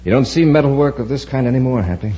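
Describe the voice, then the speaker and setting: An actor playing an authoritative, observant space patrol commander in a measured 1950s radio adventure style. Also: male voice, mid-range voice, expressive, close to an everyday voice. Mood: calm, watchful. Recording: muffled, noisy old radio recording with hum.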